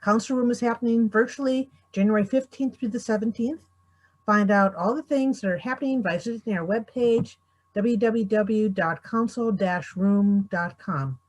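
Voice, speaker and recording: A woman's voice: mid-range voice, moderate pace, low-quality recording. A woman acting as panel moderator, addressing viewers with closing thanks and announcements.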